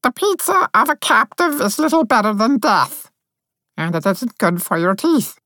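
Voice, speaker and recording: A man voicing a small, gloomy female faerie warrior who speaks in a flat, dreamy monotone with theatrical gloom. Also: high-pitched, moderate pace, no echo, clean studio sound, pitched above the performer's own voice.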